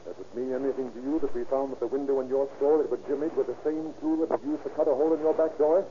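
A man's voice: medium pitch, fairly even intonation, muffled recording, natural voice. Probing police detective man on noisy old radio. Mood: stern, probing. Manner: deliberate, formal, probing. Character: probing, matter-of-fact male police detective. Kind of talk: 1930s radio acting.

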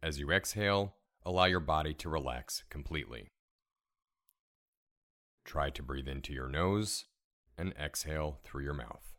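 A man leading a guided relaxation meditation in a calm, soothing, measured way, giving gentle step-by-step instructions. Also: very deep voice. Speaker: meditation guide, podcast host.

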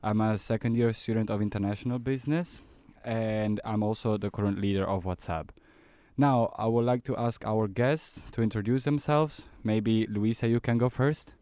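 A man's voice: lowish voice, fairly even intonation, normal speed, mellow, smooth, no room sound. A man in his twenties from Italy co-hosting a student podcast. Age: 20s. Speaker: podcast co-host. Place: small studio.

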